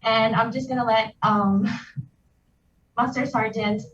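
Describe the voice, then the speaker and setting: A woman from Puerto Rico introducing her heritage group in a polite, measured way. Her fairly high voice comes through distant and muffled, with a faint hiss behind it. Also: fairly even intonation, fairly bright tone. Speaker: heritage association member. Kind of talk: remote video interview.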